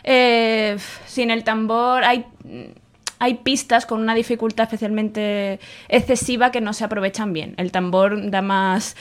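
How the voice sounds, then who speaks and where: A woman's voice: unhurried, smooth. A woman in her thirties, co-hosting a video game podcast, chatting animatedly from a home studio beside her co-host. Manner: chatty and animated, acts out family quotes in higher voices. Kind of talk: casual chat.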